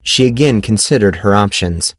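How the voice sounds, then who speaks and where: A man's voice: on the low side, animated, moderate pace, dry recording. Man narrating fiction in a dry recording. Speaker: audiobook reader.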